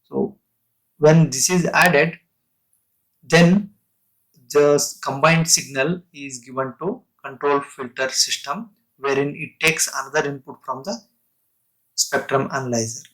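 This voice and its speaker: A man lecturing on technical material in a halting, explanatory way, breaking sentences into short phrases and restating terms. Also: mid-range voice, steady tone, a bit bright, low-quality recording.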